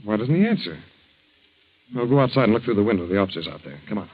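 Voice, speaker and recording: Casting: earnest young take-charge type, intense, very varied pitch, 1940s melodrama, muffled radio sound. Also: male voice, sing-song, fast talker, breathy edge. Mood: tense, searching. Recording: muffled, slightly noisy 1940s radio recording.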